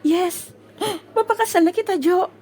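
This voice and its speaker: A chatty, affectionate Filipino bride-to-be, played by a woman, reassures and banters in a comic audio drama. Her voice is high. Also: measured pace, mellow. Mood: affectionate, eager. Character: affectionate, eager young bride-to-be.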